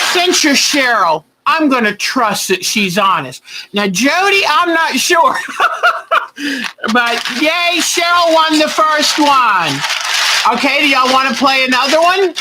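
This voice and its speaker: A woman, hosting a quilting bingo game and calling each clue twice, slowly and deliberately. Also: high voice, background noise. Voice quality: big voice.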